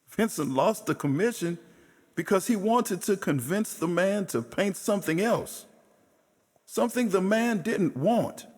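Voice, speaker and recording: Slow, echoing stage storyteller played by a man. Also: high voice, expressive, darkish tone. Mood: wry, fond. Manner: storytelling, deliberate with long pauses. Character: admiring man fondly recounting a troubled painter's life. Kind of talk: theatrical stage monologue. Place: indoor stage performance space. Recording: echoey stage hall, music behind. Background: plucked guitar music.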